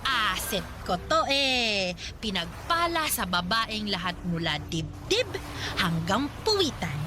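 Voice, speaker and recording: A woman's voice: mid-range voice, very animated, slow pace, background noise. A woman playing a shameless, vain, flirty middle-aged mother with a Filipino accent in a radio drama, coquettish and dramatic. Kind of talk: Tagalog radio drama acting.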